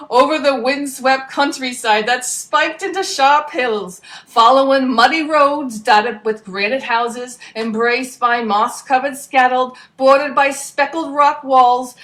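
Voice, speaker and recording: A woman narrating as a brash young male pigeon adventurer, slangy and energetic, in a storybook reading. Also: on the high side, pitched above the performer's own voice.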